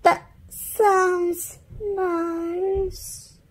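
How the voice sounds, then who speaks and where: Storybook elephant child, read by a woman: little girl, high, singsong, eager to help. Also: little pitch movement, extremely slow, heavily acted. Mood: eager, childlike.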